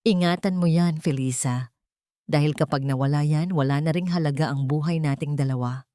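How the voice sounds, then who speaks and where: A woman's voice: sits low, moderate pace, mellow, no echo, clean studio sound, natural voice. A woman with a Filipino accent voicing a greedy, wary, mocking male driver in an audiobook melodrama. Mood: furtive, warning. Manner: mocking, furtive.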